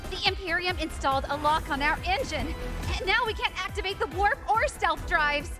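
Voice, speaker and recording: A woman's voice: extremely high pitch, normal speed. A woman voicing an exasperated, bossy young starship crewmate in scripted game dialogue, urgent and scolding. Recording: background music.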